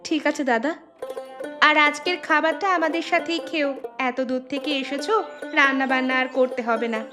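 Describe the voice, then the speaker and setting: A woman acting calculating village mother, humble cartoon delivery, highish voice, music underneath. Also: fairly even intonation, normal speed, bright, forceful tone. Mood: grateful. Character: humble, calculating mother moving in with her brother.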